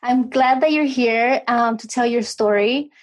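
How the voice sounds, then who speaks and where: A woman's voice: a little high, unhurried. A woman hosting a podcast interview, speaking hesitantly with frequent ums. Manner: hesitant, heavy use of um. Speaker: podcast host. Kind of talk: casual interview.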